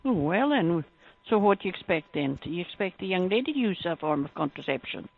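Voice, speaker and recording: A woman's voice: fairly low pitch, big pitch swings, fairly quick, gravelly. A woman working as a helpline adviser, explaining things in a matter-of-fact way over the phone. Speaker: sexual health helpline adviser. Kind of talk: phone advice conversation. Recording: muffled, tinny phone line.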